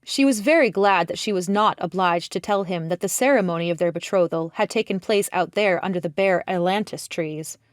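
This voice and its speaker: A woman's voice: on the low side, fairly quick, hardly any pauses, no echo, clean studio sound. A woman reading scripted literary narration as an audiobook narrator.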